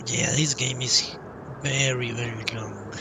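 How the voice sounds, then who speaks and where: A man's voice: mid-range voice, steady tone, a little slow, recorded from a distance, noisy background. A man giving casual live commentary as he streams a game, blunt and sweary, at times reading dialogue choices aloud. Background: video game music and sounds.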